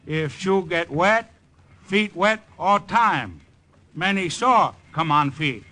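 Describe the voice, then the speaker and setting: An actor playing a stoic village guide in an old radio drama, in clipped broken English. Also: male voice, high-pitched, lively intonation, takes it slowly, bright, forceful tone, full character voice. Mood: calm, instructive. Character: calm, capable male jungle villager guide, stoic and practical. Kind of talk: 1940s-50s radio drama acting. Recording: noisy vintage radio recording.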